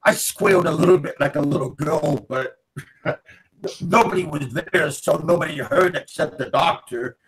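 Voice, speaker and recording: A man's voice: fairly high pitch, moderate pace, creaky, a crowd in the background, poor audio. A man on a video call telling a rambling, self-mocking story as the show's guest. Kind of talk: casual group video call.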